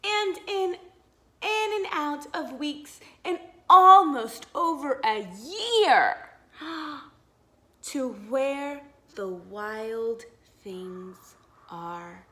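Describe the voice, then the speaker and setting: A woman teacher reading a picture book aloud to young children, expressive and encouraging, pausing to explain and ask simple questions. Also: high voice. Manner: encouraging, expressive, exaggerated wonder, asks the listener questions.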